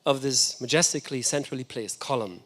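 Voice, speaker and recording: A man's voice: medium pitch, a little fast, fairly bright tone. A man whose first language is German, giving an enthusiastic, reflective architecture lecture. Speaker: guest lecturer.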